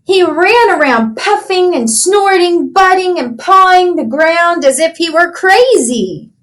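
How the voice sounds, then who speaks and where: High-pitched, slow-paced woman reading to children. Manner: animated storytelling, asking children simple questions. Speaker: children's read-aloud teacher. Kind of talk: expressive picture-book read-aloud with asides to children.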